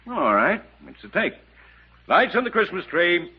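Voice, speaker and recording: An actor playing a commanding, salesmanlike Hollywood film director in a 1950s radio drama. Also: male voice, high voice, big pitch swings, measured pace, husky, exaggerated voice. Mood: brisk, commanding. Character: smooth-talking Hollywood film director, a man. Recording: dull, muffled 1950s radio recording.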